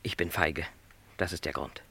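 A man's voice: low-pitched, fairly even intonation, moderate pace, bright, forceful tone, a little hiss or hum. An actor playing a lonely middle-aged travelling salesman in a literary radio play, speaking with courteous, reflective resignation. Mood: resigned.

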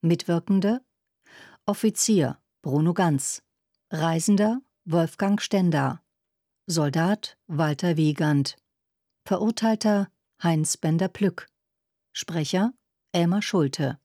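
A woman announces radio drama credits in a formal, scripted style. Her voice is soft-toned and slightly low, delivered slowly in a dry studio sound. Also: no room sound.

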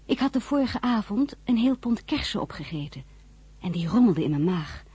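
An actress playing a tender, wry young woman narrating her diary in a radio drama, soft, husky and breathy. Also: medium pitch, very animated, moderate pace. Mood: reflective.

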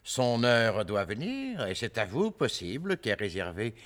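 A deferential confidant speaks formal stage verse. The voice is fairly low, with wide, animated intonation. His manner is courteous and reassuring. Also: unhurried, full character voice. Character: deferential noble confidant, counselling his lord. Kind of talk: classical French verse comedy, theatrical declamation.

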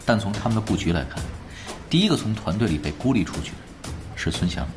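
An actor playing a calm, knowing young veteran gamer in a Chinese radio drama, talking in an unhurried, wry way. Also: male voice, lowish voice, over music. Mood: analytical, confident.

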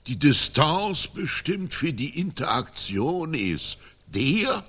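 A battered medieval knight, dazed yet pedantic. The voice is raspy and drawn out, swinging widely in pitch as he labours through his words in a radio play. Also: sing-song, slow pace, a bit bright, exaggerated voice. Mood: halting, pedantic. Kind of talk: German radio drama acting.

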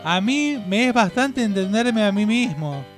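A man with a very high voice speaks in Rioplatense Spanish accent. He hosts casually, in loose radio chat. Light music plays behind him. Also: lively intonation, a little slow. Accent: Rioplatense Argentine Spanish. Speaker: radio show host.

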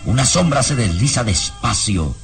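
A man narrating a radio serial in a suspenseful, theatrical and measured scripted style. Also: mid-range voice, very animated, vocal fry. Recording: radio drama under loud music.

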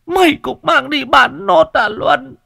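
A man's voice: extremely high pitch, a little slow, gravelly, sharp tone, no echo, clean studio sound, voice pushed up, heavily acted. A man voicing a frail, grieving old village mother who wails and sobs. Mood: wailing. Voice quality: wailing, sobbing.

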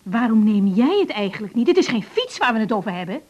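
Radio drama: young female doctor, introspective and hurt, soft dark tone, rough edge, muffled recording. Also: fairly high pitch, sing-song, quick pace. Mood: guarded, irritated. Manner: earnest, emotionally open, introspective. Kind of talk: naturalistic radio drama acting, diary-like monologues. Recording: dull radio recording with music.

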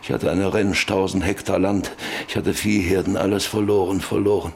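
An actor in a German radio drama playing an old, lonely rancher who broods bitterly over his life in halting, repetitive phrases. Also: sits low, fairly even intonation, a little hiss or hum. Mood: bitter, remembering. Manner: brooding, halting, repeating words.

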